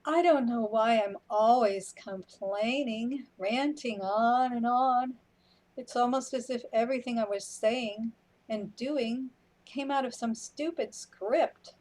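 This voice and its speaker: A woman plays a wry, weary female companion in a sci-fi radio drama. Her voice sits fairly high, and she takes her lines slowly and deliberately. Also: a little mellow, poor audio. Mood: weary, wondering. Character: unhurried, matter-of-fact companion, wry and weary. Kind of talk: radio play acting.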